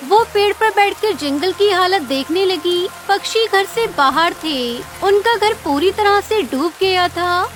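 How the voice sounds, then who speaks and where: A woman's voice: extremely high pitch, slight background noise. A woman narrator reading a scripted children's story.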